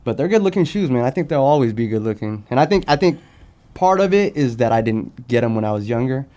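A man's voice: medium pitch, expressive, quick pace, warm tone. An American man, a guest on a podcast, chatting in a laid-back, conversational way. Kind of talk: casual chat about sneakers.

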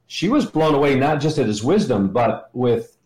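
A man's voice: mid-range voice, sing-song, normal speed, darkish tone. Mature man in an echoey room. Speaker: podcast guest, ministry leader. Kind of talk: conversational interview answers. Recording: roomy, echoey sound.

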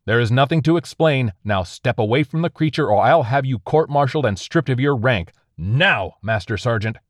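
A man voicing a furious military officer in a hazmat suit who barks commands and shouts. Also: medium pitch, expressive, moderate pace, dry recording, studio quality, voice pushed up, close to an everyday voice. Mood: commanding.

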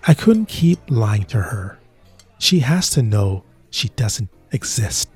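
A man tells the story slowly and carefully. His voice is husky, and his pitch rises and falls a lot. Soft music plays behind him. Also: sing-song. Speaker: narrator and lead character. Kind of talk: scripted narration in a short drama.

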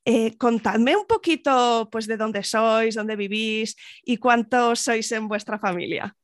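A woman's voice: fairly high pitch, takes it slowly, dry recording, clean studio sound. A woman hosting a podcast, reading a scripted intro and then asking her guests questions in a friendly, enthusiastic way. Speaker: podcast host.

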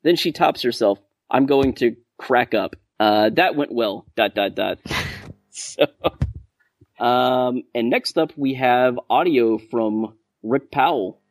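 A man's voice: medium pitch, slow pace, no room sound, studio quality. A man hosting a podcast, chatting casually and reading out listener feedback. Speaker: podcast host.

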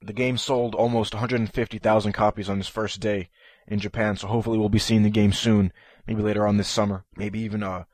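A man's voice: on the low side, flat delivery, fairly quick, a little mellow, no echo. Nasal man hosting a fan podcast. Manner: eager, with frequent ums and restarts. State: stuffy nose from a head cold. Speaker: podcast host. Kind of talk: solo podcast talk.

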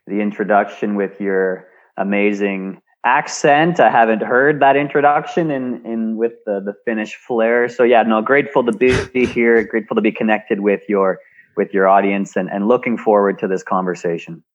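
A man's voice: lowish voice, normal speed, dull audio. A Canadian man telling his story as an interview guest, often repeating and restarting words. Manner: frequent word repeats and restarts. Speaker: guest, speaker and engineer. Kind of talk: remote interview, reflective storytelling.

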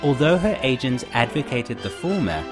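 A man narrating a documentary in a measured, informative way. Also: medium pitch, big pitch swings, over music. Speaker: narrator host.

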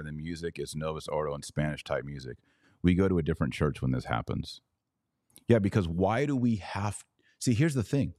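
A man's voice: sits low, a little mellow, no room sound, clean studio sound. A man hosting a live podcast, reading viewer comments and giving emphatic, opinionated commentary with rhetorical questions. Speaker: podcast host.

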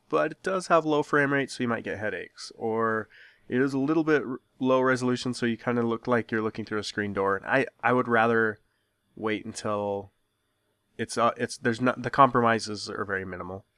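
A man's voice: medium pitch, steady tone, moderate pace, fairly bright tone, clean tone, no room sound, studio quality. A man co-hosting a casual podcast, musing in an easygoing way. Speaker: podcast co-host. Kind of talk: casual chat.

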